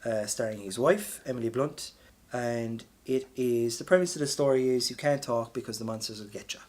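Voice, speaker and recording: A man's voice: mid-range voice, moderate pace, darkish tone. An Irish man chatting casually as a podcast co-host, blunt and sweary, telling anecdotes. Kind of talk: casual chat, film review.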